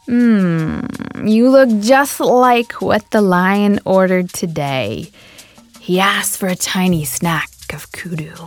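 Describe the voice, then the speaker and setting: A woman voicing a sly, hungry male hyena in a low, breathy, menacing voice that drops to a whisper. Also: animated, drawn-out words, a little mellow.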